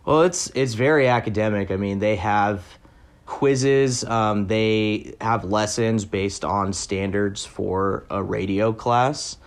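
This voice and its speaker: A man's voice: fairly low pitch, slow pace. A man working as a reporter, explaining things in a thoughtful, conversational interview style. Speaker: education reporter.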